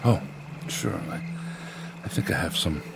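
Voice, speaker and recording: An actor playing a bitter, despairing newspaper editor in a radio drama, terse, weary and deadpan. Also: male voice, unhurried, background noise, close to an everyday voice. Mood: gloomy, guarded.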